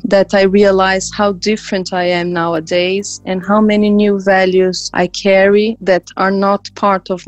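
A woman from Brazil speaks in a thoughtful, searching way. Her voice has a mid pitch. Faint music sits under her words. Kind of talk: documentary interview.

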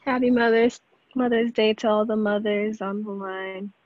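A woman's voice: medium pitch, fairly even intonation, moderate pace, no echo, phone-quality sound. A woman reciting a devotional poem as a congregation member over an online call.

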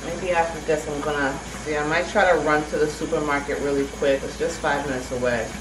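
A woman's voice: low voice, fairly even intonation, fairly quick. A young woman chatting casually as a vlogger in her apartment, rambling and correcting herself as she goes. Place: apartment kitchen and living room. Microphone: camera mic from a few feet away. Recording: echoey room, faint room noise.

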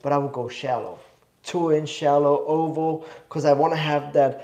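Young guy from switzerland, slightly high voice, eager and rambly, bit of a cough, demo in a studio. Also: steady tone, moderate pace, a little mellow, roomy sound. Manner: eager, rambling, self-correcting. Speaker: bonsai demo host. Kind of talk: live demo teaching. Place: indoor studio.

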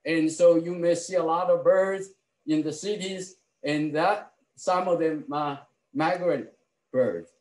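A middle-aged man gives an academic keynote lecture over a video call, in a measured, halting delivery with many fillers and restarts. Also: fairly high pitch, low-quality recording. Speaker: keynote speaker, ecologist. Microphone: video call webcam.

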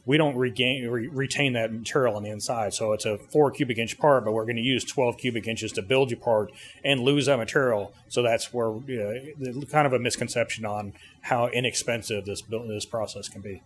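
A middle-aged man giving an informal technical explanation in interview answers, plain-spoken and pausing as he goes. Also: mid-range voice, steady tone. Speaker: service bureau co-owner explaining his machine. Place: indoor 3D printing workshop. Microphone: handheld interview mic held by interviewer. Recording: clearly noisy machine-room ambience.

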